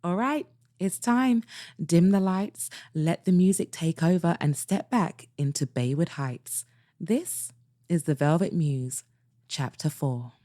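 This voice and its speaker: A woman hosting a podcast and narrating an audiobook in a dramatic, expressive way, with slow emphatic pauses. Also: lowish voice, no echo.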